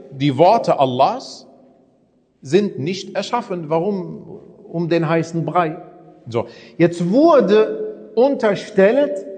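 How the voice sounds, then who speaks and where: A man's voice: high voice, in a large hall. A man teaching a religious lesson in a conversational way, often asking his listeners rhetorical questions. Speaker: Islamic preacher.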